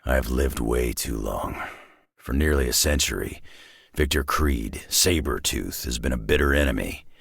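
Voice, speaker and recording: A man playing a gruff, world-weary, sardonic middle-aged superhero brawler in a video game, with a raspy growl and a blunt, wry drawl. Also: rumbling low, a little slow, fairly bright tone, dry recording, clean studio sound, heavily acted. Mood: dry, weary. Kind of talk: video game character voice lines.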